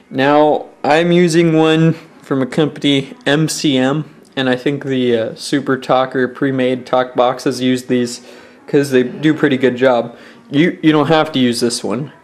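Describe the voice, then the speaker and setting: A young man with a medium-pitched voice walks through a homemade project in an informal way. He thinks aloud as he goes, a little hesitant, like an amateur tutorial presenter. He is recorded indoors at home, close to a handheld camera. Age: young adult. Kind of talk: casual how-to explanation. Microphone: handheld camera at close range.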